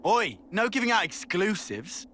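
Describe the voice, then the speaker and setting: A man voicing a brash, excitable young fighter in a video game, informal in his talk. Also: sits high, unhurried, slight background noise, full character voice. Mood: pushy. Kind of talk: video game cutscene acting.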